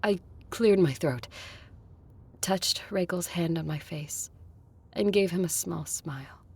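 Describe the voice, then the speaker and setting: A woman playing a solemn, determined, centuries-old vampire leader in an audio drama, measured and earnest. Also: lowish voice, gravelly, a little mellow, slightly rough, full character voice. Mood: hushed, reflective. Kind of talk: dramatic acting with first-person narration.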